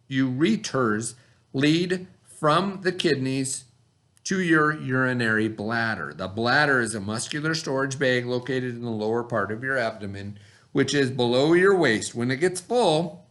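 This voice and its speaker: A man's voice: mid-range voice, lively intonation, unhurried, fairly bright tone. A middle-aged man reading a lesson aloud to children as their teacher, with simple, instructive explanations.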